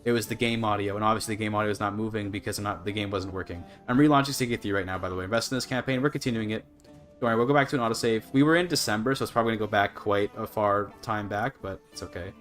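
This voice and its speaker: A man's voice: fairly low pitch, rapid-fire, a bit bright, faint music behind. A Canadian man chatting casually to his livestream audience, rambling and apologetic as he goes. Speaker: live streamer. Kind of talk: casual gaming livestream chat.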